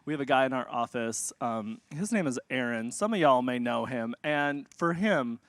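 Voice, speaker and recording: A man's voice: medium pitch, lively intonation, moderate pace, a bit bright, no room sound. Guy co-hosting, jokey and self-mocking, voice swoops around a lot, dry close mic. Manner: playful, self-deprecating banter. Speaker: event co-host. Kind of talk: live event hosting.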